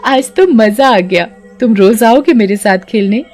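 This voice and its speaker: Woman doing a sweet lonely little cartoon girl, high childlike plaintive voice, faint music. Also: a little slow, a little mellow. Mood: cheerful. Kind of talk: Hindi cartoon voice acting.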